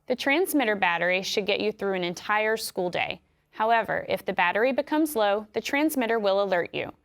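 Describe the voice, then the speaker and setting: A woman with an American accent giving scripted instructions in a clear, measured way. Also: mid-range voice, animated. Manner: clear, measured explanatory delivery. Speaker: instructional video narrator.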